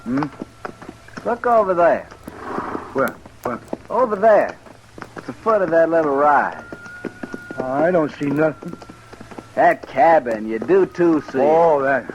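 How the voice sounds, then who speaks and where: An actor playing a bickering, uneasy outlaw on the run, with a rustic frontier drawl, in an old-time radio western. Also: male voice, a little high, very animated, measured pace, long pauses, highs cut off. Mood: tense, irritable. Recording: noisy vintage radio recording.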